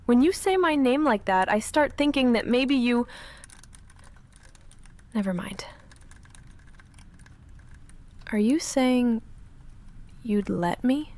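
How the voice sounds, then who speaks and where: A woman playing a shy, lovestruck vampire in an intimate ASMR roleplay, speaking softly and breathily with halting, confessional hesitation, often in a whisper. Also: fairly high pitch, animated. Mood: nervous, tender. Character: shy lovestruck female vampire roommate, confessing and self-restrained.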